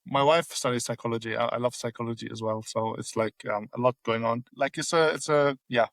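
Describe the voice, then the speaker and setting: A man's voice: mid-range voice, steady tone, brisk, a bit bright, no room sound. Hesitant male podcast host. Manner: hesitant, many fillers and restarts. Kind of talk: casual interview talk.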